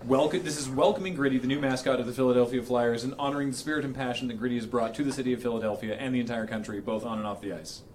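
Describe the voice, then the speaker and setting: A man's voice: mid-range voice, steady tone, rapid-fire, few pauses, darkish tone. A man reading a formal resolution aloud in a mock-solemn style, amused and prone to cracking up. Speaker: charity livestream host reading aloud. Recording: noisy room with clicking.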